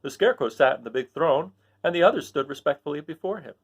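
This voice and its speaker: Animated man reading a children's story. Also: a little high, quick pace, slightly rough. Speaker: storybook reader. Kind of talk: expressive children's story narration.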